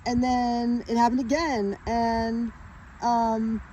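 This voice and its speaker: Woman, late thirties, rambling casual monologue, fairly high mellow voice, muffled recording. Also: level tone, slow pace, slight background noise. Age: late 30s. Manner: rambling and emphatic, many fillers. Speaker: spiritual vlogger.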